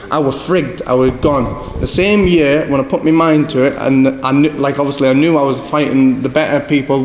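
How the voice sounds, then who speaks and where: A young man from Scotland with a northeast English accent, a boxer telling rambling stories in an interview, often repeating "do you know what I mean". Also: a little high. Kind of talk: casual interview answers. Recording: echoey hall with faint background noise.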